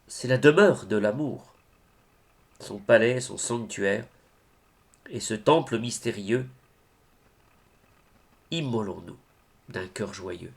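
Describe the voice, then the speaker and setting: A man reciting religious poetry in a solemn, declamatory way, phrase by phrase with deliberate pauses. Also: fairly low pitch, lively intonation, vocal fry. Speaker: reader of devotional poetry.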